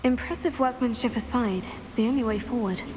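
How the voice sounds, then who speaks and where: Woman as a high-voiced, wary student witch. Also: fairly quick, in a large hall, background noise, close to an everyday voice. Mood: wary, determined. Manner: practical, wary. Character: cautious, determined teenage student witch. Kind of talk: video game character dialogue.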